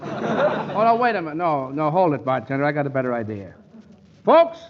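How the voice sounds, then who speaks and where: Wisecracking radio lawyer, high lively voice, old broadcast. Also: male voice, moderate pace. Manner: breezy, wisecracking. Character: brash wisecracking male lawyer Malone. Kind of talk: 1940s radio comedy acting. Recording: dull old radio broadcast, faint hiss.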